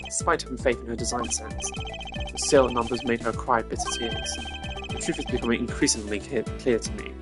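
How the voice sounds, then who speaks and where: A woman voicing a composed, stern male investigator in a video game, speaking in a formal, measured, probing way. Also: very deep voice, fairly even intonation, music in the background, pitched below the performer's own voice. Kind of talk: video game dialogue voice acting.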